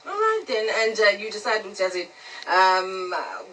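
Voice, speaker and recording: Lively South African woman hosting. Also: mid-range voice, measured pace, distant mic, a little hiss or hum, rough recording. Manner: chatty, familiar, slangy. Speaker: radio interview host. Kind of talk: casual upbeat interview. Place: radio studio.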